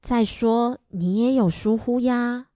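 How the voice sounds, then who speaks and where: A woman's voice: a little high, slow pace, mellow, no echo, clean studio sound. A woman acting a sensible middle-aged mother in a radio drama, with a Taiwanese accent and a placating, practical manner.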